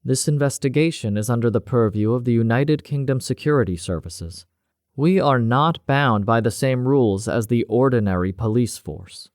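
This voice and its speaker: Man doing a female spy chief: icy, slow, commanding, pitched up. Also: expressive, dry recording, clean studio sound, close to an everyday voice. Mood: cold. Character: cold, authoritative female security officer.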